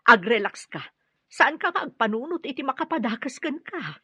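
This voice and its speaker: A woman's voice: high voice, lively intonation, moderate pace, sharp tone, husky, no echo, heavily acted. An actress with an Ilocano accent playing a caring, worried mother, soothing and concerned, in a radio drama.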